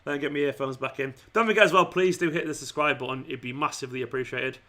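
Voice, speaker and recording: A man's voice: medium pitch, fast, sharp tone. A man hosting a football watchalong livestream, chatting casually and matily to viewers, his voice starting to go. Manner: informal matey address, often saying "man", "mate" and "pal". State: losing his voice. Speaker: fan channel livestream host.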